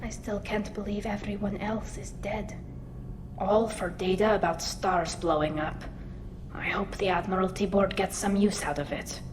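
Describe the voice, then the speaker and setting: A woman plays an alien ship's engineer in a sci-fi game, loyal but guarded. Her mid-range voice is soft and measured, earnest in tone, with a clear engine rumble behind it. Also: steady tone, natural voice. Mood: wistful, concerned. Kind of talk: video game character acting.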